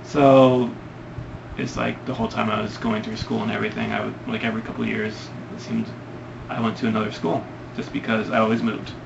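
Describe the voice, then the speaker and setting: A man's voice: lowish voice, flat delivery, brisk, vocal fry. A young man from Ohio answering viewer questions in a casual vlog, hesitant and rambling with many ums. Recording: lo-fi, noisy home recording.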